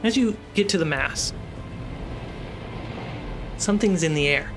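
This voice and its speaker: Slow-paced man narrating a fantasy game. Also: on the high side, expressive, music in the background. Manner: slow, suspenseful phrasing with pauses. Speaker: game master.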